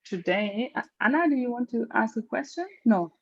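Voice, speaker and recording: A woman's voice: a little high, lively intonation, normal speed, creaky, breathy edge. A woman moderating an online webinar in an informal, encouraging way. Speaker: host, moderator. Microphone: video call. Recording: slightly dull online call audio.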